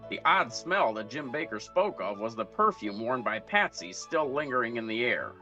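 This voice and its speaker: A man's voice: medium pitch, lively intonation, moderate pace, bright, forceful tone, muffled recording, faint music behind. A man playing a hard-boiled 1940s private detective, narrating his case in a matter-of-fact, clipped radio noir style.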